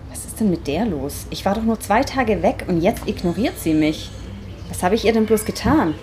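A woman's voice: mid-range voice, animated, normal speed, darkish tone, soft background music, lightly acted. Bewildered, insistent young woman acted in a radio play. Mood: brooding. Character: confused, insistent young woman back in a changed hometown. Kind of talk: amateur radio play acting.

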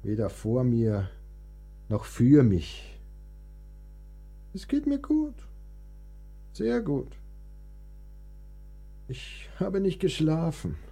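Frail, fretful male composer for radio drama: slow, swooping delivery, weary and full of self-pity. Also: fairly high pitch, wildly swooping pitch, creaky, warm tone, slightly rough, full character voice. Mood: agitated, confused. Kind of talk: radio play acting.

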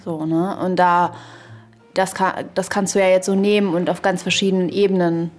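A woman in a reflective podcast discussion, explaining tentatively and pausing to find her words. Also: fairly low pitch, little pitch movement, soft background music. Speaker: podcast co-host.

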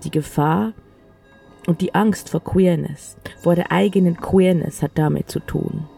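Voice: medium pitch, measured pace, creaky, warm tone, slightly rough. A narrator reading a literary first-person audio play in an intimate, matter-of-fact way. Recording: music behind the voice. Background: music.

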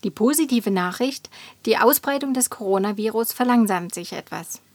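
A woman's voice: a little high, expressive, normal speed, a little mellow, dry recording. A woman playing a matter-of-fact online science explainer, reading a scripted post. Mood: sober, informative. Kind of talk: scripted explainer in an audio collage.

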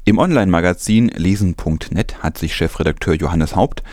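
Low-voiced man reading news. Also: normal speed, a little mellow, no echo, studio quality. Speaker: news presenter. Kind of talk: scripted news narration with commentary.

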